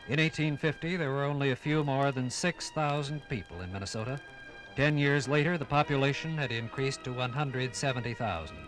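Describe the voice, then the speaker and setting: Instrumental music runs clearly under a male announcer narrating a vintage radio history. He is mid-pitched, with measured, emphatic phrasing. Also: low-quality recording. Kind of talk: 1950s scripted radio documentary narration.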